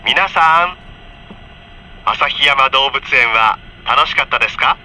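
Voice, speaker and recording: A man reading a scripted onboard train announcement for children in friendly, polite, clearly enunciated phrases, heard over a train PA. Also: medium pitch, expressive, takes it slowly, sharp tone. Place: train car, over the PA system. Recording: dull train PA with faint noise.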